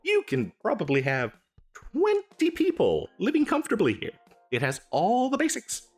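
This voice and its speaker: Man acting a eager wizard merchant, very animated pitch, slightly husky, hesitant comedic fantasy patter. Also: normal speed, faint music behind, heavily acted. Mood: chatty, selling. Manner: chatty, stammering, hesitant sales patter. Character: eager, pushy wizard salesman hawking magical castles. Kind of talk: scripted comedic fantasy roleplay.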